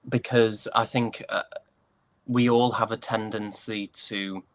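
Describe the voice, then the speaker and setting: A British man chatting casually as an interview guest, telling anecdotes in a wry, joking, halting way. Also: fairly low pitch, little pitch movement. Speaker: interview guest, stage and voice actor.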